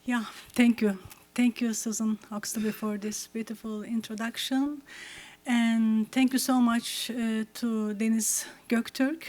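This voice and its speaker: Slow, halting woman. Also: fairly high pitch, little pitch movement, darkish tone, slight background noise. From Turkey. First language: Turkish. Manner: halting, searching for words. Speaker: guest artist. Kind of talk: thanking the audience on stage. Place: cinema theater at a screening.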